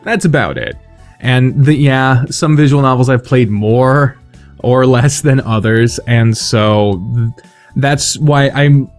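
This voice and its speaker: A man with a mid-pitched voice talks casually to his viewers as a video host. He rambles without a script, swears freely and pokes fun at himself. Music plays behind him. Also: darkish tone. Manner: sweary, self-deprecating, rambling. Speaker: video host announcing fan awards. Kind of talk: casual unscripted monologue to viewers.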